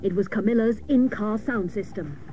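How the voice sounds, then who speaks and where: An actress playing a hapless, literal-minded female private detective, narrating a comic radio serial with mock-dramatic seriousness and deadpan jokes. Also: mid-range voice, normal speed, over loud music, lightly acted. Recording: noisy old cassette of radio broadcast.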